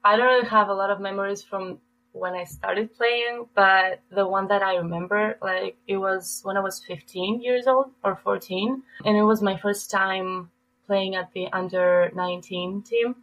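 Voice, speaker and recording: Young raised woman, relaxed and chatty. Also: mid-range voice, normal speed, low-quality recording. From Greece. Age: 20s. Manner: relaxed, lots of "like" fillers. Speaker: college volleyball player guest. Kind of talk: casual interview answers.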